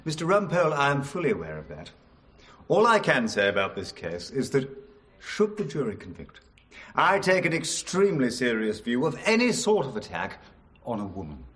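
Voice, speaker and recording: Pompous judge with swooping voice. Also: male voice, mid-range voice, wildly swooping pitch, moderate pace, a bit bright. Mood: stern. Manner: pompous, affronted. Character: pompous, fussy English judge. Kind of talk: radio drama acting.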